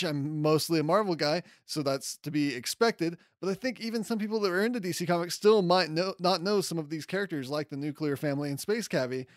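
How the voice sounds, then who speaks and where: A man's voice: on the high side, dry recording, clean studio sound. A man hosting a podcast in a casual, conversational way, with enthusiasm and self-deprecating asides. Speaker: podcast host. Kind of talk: casual comics news commentary.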